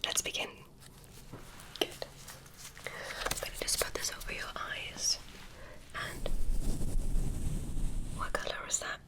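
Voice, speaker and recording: A woman voicing a curious alien examiner who tests a human, speaking in a soft, clinical ASMR whisper. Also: slow pace, long pauses, natural voice, rough recording. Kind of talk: ASMR medical roleplay.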